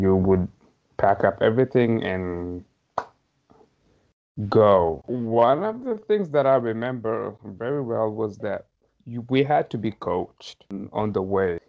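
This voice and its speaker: A man in his thirties from Somalia, a podcast guest thoughtfully recounting his childhood, hedging often and laughing lightly. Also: on the low side. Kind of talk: reflective personal storytelling. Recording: slightly dull lo-fi audio.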